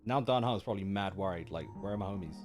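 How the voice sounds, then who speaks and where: A man's voice: sits low, fast, over music. A man doing casual live reaction commentary on a game, informal and slangy, thinking aloud.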